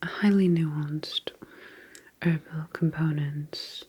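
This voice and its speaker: Female ASMR performer as a calm, subtly sinister sleep doctor: breathy whispering, unhurried, lowish pitch, soothing and deliberate. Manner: slow, soothing, deliberate clinical reassurance. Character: serene, faintly sinister sleep clinic doctor, woman.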